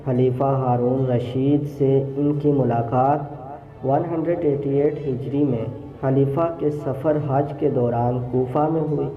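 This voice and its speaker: A man narrating a scripted religious story in a measured, formal storytelling manner. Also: mid-range voice, fairly even intonation. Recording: roomy echo, phone-like narrow sound.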